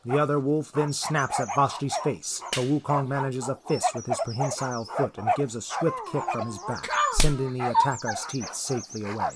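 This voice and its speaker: A man's voice: medium pitch, very animated, a little fast, hardly any pauses, very noisy. A man narrating a scripted fantasy adventure story.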